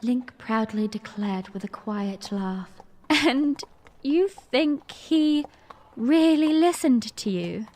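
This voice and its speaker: A young princess, played by a woman, tells her memories in an audio drama. Her voice is high and very unhurried, ladylike and lightly amused. It rings in a long hall reverb with some noise. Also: expressive, darkish tone. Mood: amused, fond. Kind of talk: audio drama narration and dialogue.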